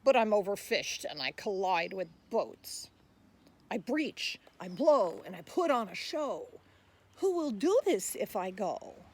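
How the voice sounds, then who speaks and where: A woman voicing a huge, proud, blubbery whale in a rhyming picture book, with loud, punchy, emphatic phrasing. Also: mid-range voice, very animated, slow, slight background noise. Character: huge blubbery right whale, proud yet wronged showman.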